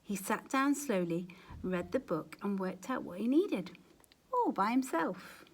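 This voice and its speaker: Woman reading a kids story out loud, super expressive n dramatic, voice goes up and down loads. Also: medium pitch, a little slow, husky. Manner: animated, dramatic emphasis, quiet asides to young listeners. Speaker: storyteller, teacher. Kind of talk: expressive children's storybook read-aloud.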